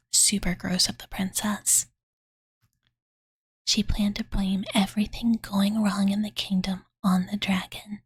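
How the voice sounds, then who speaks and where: A woman voicing a cute, devoted young dragon girl who softly tells her master a bedtime story in a cozy ASMR roleplay, with cutesy, filler-heavy speech. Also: mid-range voice, no room sound. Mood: mildly disgusted, fond. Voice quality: soft breathy whisper. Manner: valley-girl filler-laden, soothing, cutesy third-person speech. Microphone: close-up studio condenser microphone, dry.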